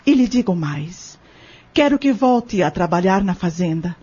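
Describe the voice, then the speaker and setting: Actress as kindly farm mistress, husky medium-pitched voice, calm, reassuring, firm, audio drama, dull sound. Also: female voice, measured pace. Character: forgiving, fair-minded farm lady offering a job.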